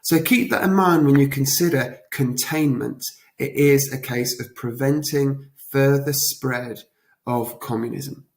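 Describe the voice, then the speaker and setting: A man giving an explanatory revision lesson as a teacher, talking in a deliberate, emphatic way with rhetorical check-ins. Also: mid-range voice. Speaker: history teacher. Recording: echoey, roomy sound.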